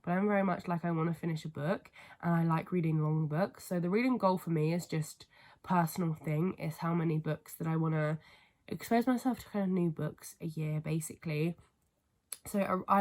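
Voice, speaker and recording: A woman in her twenties with a cold, her voice stuffy and sniffly, chatting casually and rambling to camera about books, with lots of fillers and apologies. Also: low-pitched. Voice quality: stuffy, congested. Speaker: book vlogger. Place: family home bedroom, indoors.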